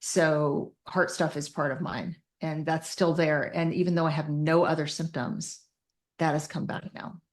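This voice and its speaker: Low-pitched woman explaining in detail. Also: little pitch movement, a little slow. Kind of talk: explanatory interview talk from personal and clinical experience.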